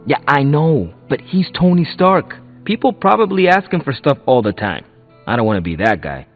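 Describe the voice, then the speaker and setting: An actor with an American accent playing an earnest, self-conscious young hero in a video game, casual and hesitant. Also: male voice, mid-range voice, sing-song, moderate pace, phone-quality sound, lightly acted. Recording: thin band-limited audio, faint music.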